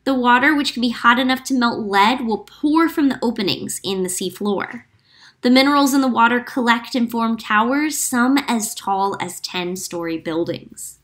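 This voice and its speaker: A woman reading a picture book aloud to children as a teacher, clear and explanatory, slowing down on key facts. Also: a little high, a bit bright. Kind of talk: read-aloud storytime narration.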